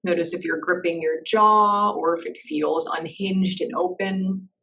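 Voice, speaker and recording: A woman leading a guided meditation in a soft, slow, reassuring way, with gentle pauses between phrases. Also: on the low side, steady tone, vocal fry, distant mic, poor audio.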